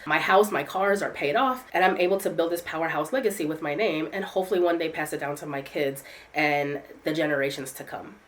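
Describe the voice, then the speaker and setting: A woman content creator chatting candidly to her viewers in a casual vlog, congested from a head cold. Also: fairly low pitch. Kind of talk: casual talking-to-camera vlog.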